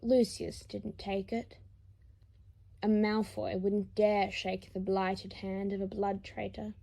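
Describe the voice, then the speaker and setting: A woman's voice: mid-range voice, little pitch movement, takes it slowly, vocal fry, dark tone. A woman narrating a story from a script, as in an audiobook. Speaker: fan fiction narrator.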